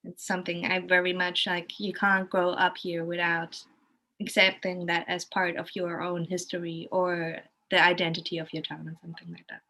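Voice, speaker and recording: A woman's voice: on the low side, level tone, moderate pace, pushed and bright. German-accented woman, casual and rambling. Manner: rambling, thinking aloud with frequent rephrasing. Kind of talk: casual conversational storytelling.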